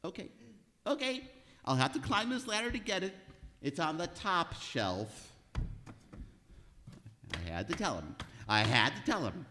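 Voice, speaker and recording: A man's voice: a little high, sing-song, a little slow, long pauses, sharp tone, slight background noise, exaggerated voice. A man playing a nervous, exasperated department store clerk who stammers and mutters to himself in an old-time radio comedy. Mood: exasperated despair. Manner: stammering, fretful, muttering self-pitying asides. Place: live performance in a museum hall. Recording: reverberant hall, live stage recording.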